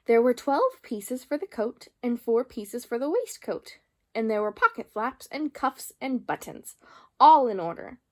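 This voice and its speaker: A woman storyteller reading a children's book aloud in an expressive narrating style. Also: on the high side, unhurried.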